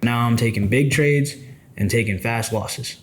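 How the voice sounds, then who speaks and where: A man's voice: mid-range voice, a little slow. A man in his twenties talking casually and thoughtfully to the viewer as a trading mentor, addressing them directly. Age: 20s. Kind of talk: casual reflective monologue.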